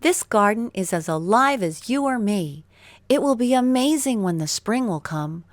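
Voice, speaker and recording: A woman's voice: medium pitch, lively intonation, moderate pace, dry recording, clean studio sound, natural voice. A woman voicing a friendly, hopeful, nature-loving boy in a children's storybook. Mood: reassuring, hopeful.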